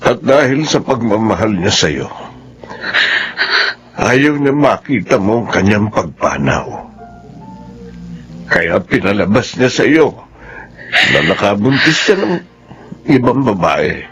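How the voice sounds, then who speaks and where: A husky, low-voiced old father in a radio play, slowly and heavily breaking bad news. Every word comes out measured and mournful, with a breathy rasp. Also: big pitch swings, fairly bright tone, faint music behind, exaggerated voice. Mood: somber. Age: older. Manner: slow, heavy, sorrowful telling. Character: grieving old father, gentle and solemn. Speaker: father of the young man. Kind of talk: Filipino radio drama acting.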